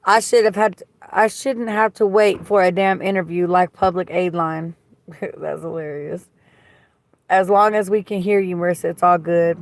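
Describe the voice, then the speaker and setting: A woman with a slightly raspy voice hosting a livestream, chatting casually and reading viewer comments in a blunt, gossipy way that is full of swearing. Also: fairly low pitch, fairly even intonation, normal speed, dry recording. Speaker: livestream host.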